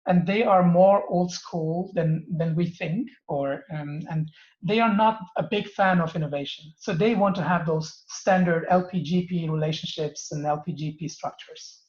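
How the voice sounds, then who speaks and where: A man's voice: on the high side, normal speed, a little mellow, echoey room, highs cut off. A man, answering interview questions with many ums and restarts. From Germany. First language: Turkish and German. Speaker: venture capital partner, guest.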